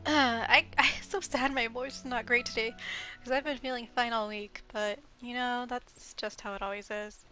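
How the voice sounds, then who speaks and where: A woman's voice: a little high, steady tone, normal speed, bright, forceful tone. A young woman streaming a video game from home over a headset, a little congested, rambling casually with frequent ums and giggles. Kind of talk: casual gameplay live stream chat. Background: faint game music.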